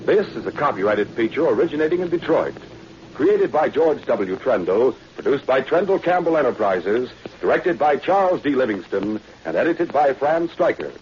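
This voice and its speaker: A man's voice: mid-range voice, sing-song, normal speed, a little mellow. An American man narrating a 1940s radio adventure as a dramatic announcer. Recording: dull, noisy old radio recording.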